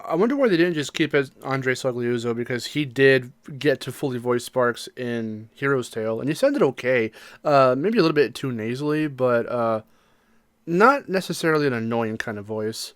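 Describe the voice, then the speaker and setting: A man co-hosting a casual podcast discussion, talking in a halting, reflective way and hedging his opinions. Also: medium pitch, lively intonation, clean tone, no room sound. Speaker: podcast co-host.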